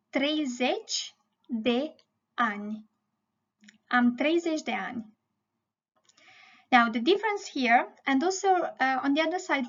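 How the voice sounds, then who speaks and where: A woman's voice: sits high, dull audio. A woman teaching a language lesson, explaining didactically and often checking in with the learner.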